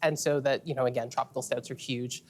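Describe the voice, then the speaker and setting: A woman's voice: a bass voice, quick pace, slight background noise. A woman from Trinidad with a Trinidadian accent, talking casually as a guest about the beers being tasted. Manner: explanatory, relaxed, with fillers. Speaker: beer expert guest. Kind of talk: casual tasting chat.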